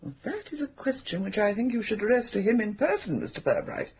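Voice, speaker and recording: Genteel scheming spinster, arch and coy, lively swooping pitch, muffled radio recording. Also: female voice, fast talker. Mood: discreet. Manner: arch, coy, prim and mischievous. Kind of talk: 1970s radio drama acting. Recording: dull slightly noisy radio drama recording.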